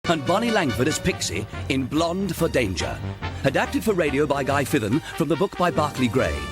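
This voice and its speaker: A man's voice: fairly high pitch, big pitch swings, normal speed. A man announcing the title and credits of a radio drama in a scripted style. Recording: music underneath.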